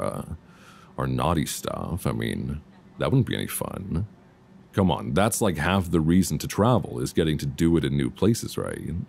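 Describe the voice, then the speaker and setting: Very deep, gravelly, soothing boyfriend played by a man. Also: exaggerated voice. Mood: playful, teasing. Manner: soft, intimate, unhurried, soothing. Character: affectionate, reassuring young boyfriend on vacation. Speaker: ASMR roleplay voice performer. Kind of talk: ASMR boyfriend roleplay, intimate casual chat. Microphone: close binaural microphone. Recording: faint background noise, binaural.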